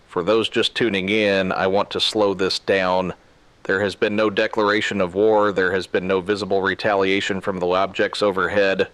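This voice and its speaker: Low-voiced, grave emergency radio broadcaster, acted by a man. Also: flat delivery, fairly bright tone, dry recording. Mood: grave, controlled. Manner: solemn, deliberate, clipped short sentences. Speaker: radio news host. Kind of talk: scripted emergency broadcast narration.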